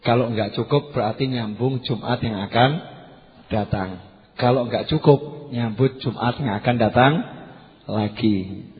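A slightly hoarse man giving a religious lecture to a congregation, conversational, repeating key phrases and putting questions to his listeners. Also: mid-range voice, measured pace, long pauses. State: slightly hoarse after losing voice. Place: large hall at a religious gathering. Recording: muffled PA with long hall reverb.

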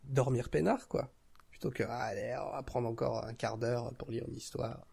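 Man chatting informally, mid-pitched voice. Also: steady tone, moderate pace, gravelly, a little mellow, husky. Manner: informal, slangy. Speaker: podcast guest and audio drama creator. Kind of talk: casual chat.

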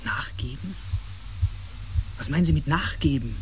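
A man acting as an earnest, analytical explorer in a science fiction radio play. His voice is high for a man, searching and emphatic, with faint ambience around it. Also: measured pace, natural voice. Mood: alarmed, probing. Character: earnest, analytical male expedition member. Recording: noisy with ambient sound.

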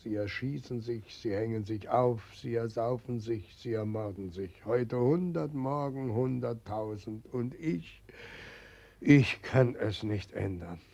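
A weeping old forgotten god. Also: male voice, medium pitch, unhurried, gravelly, a little mellow. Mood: grieving. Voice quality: tearful, lamenting. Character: weeping old God whom no one believes in. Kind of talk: 1940s radio drama acting. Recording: dull lo-fi 1940s radio recording.

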